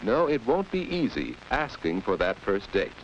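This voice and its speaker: A man's voice: fairly low pitch, normal speed. An American man narrating a 1940s instructional film in a guiding, rhetorical manner. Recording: old film soundtrack with steady hiss.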